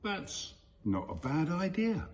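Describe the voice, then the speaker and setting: Guy doing a weary teasing little spirit man from a storybook, unhurried, kinda exasperated. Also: sits high, sing-song, voice pushed up, heavily acted. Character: male spirit person, teasing and wearily sceptical.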